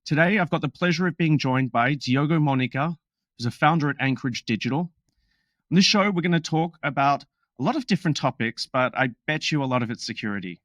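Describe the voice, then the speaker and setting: A man's voice: fairly high pitch, a bit bright, no room sound. A man hosting a podcast in a casual, upbeat way, with joking asides. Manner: upbeat, jokey, quick asides. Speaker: podcast host. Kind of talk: casual podcast chat with read disclaimer.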